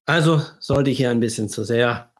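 A man with a husky, scratchy voice that sounds a little under the weather. His pitch sits in the middle of the male range. He explains things like a therapist hosting a livestream, leaning emphatically on key phrases and asking rhetorical questions. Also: normal speed.

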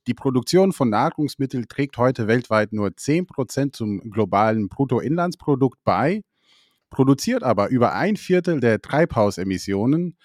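A man's voice: mid-range voice, a little mellow, dry recording, clean studio sound. A man co-hosting an investing podcast, talking casually with many fillers and reading a passage aloud. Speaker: co-host. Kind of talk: podcast chat.

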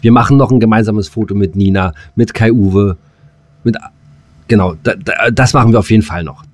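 Low-pitched man chatting, dry close sound. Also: moderate pace, no room sound. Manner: colloquial, hesitant, rambling, with crude slang. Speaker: podcast co-host. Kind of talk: casual podcast chat.

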